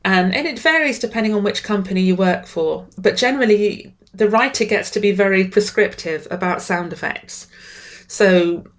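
A woman's voice: medium pitch, normal speed. A middle-aged woman writer in an interview, speaking with enthusiasm and often restarting or repeating words. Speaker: writer and guest. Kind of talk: conversational interview.

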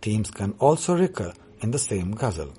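A man whose first language is Urdu, talking about his writing as a poet in a measured, deliberate way. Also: lowish voice, big pitch swings, dark tone, slightly rough. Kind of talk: reflective talk on his writing.

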